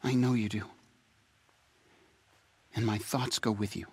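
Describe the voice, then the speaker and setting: A man playing an earnest, gentle young tribesman in a video game, sincere and a little hesitant. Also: on the low side, steady tone, unhurried, slightly rough, no echo. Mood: reverent, sincere.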